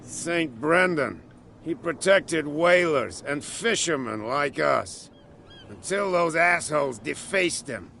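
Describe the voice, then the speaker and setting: A man voicing a gruff, suspicious island drunkard in a video game, grumbling about outsiders. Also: on the high side, lively intonation, slow, bright, forceful tone, slight background noise, heavily acted. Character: gruff, idle male island drunk, protective of his saint.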